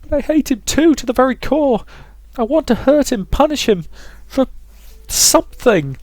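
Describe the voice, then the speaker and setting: A man voicing a distraught, fragile young woman close to madness, speaking haltingly in a visual novel. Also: extremely high pitch, expressive, darkish tone, no echo, voice pushed up. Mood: anguished, desperate. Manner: halting, repeating words.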